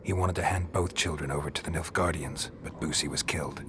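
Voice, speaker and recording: Gruff mercenary monster hunter, fantasy game. Very deep, gravelly, flat and unimpressed delivery. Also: male voice, brisk, background noise. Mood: matter-of-fact. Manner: terse, deadpan. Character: gruff, stoic male monster hunter for hire. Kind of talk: video game dialogue acting.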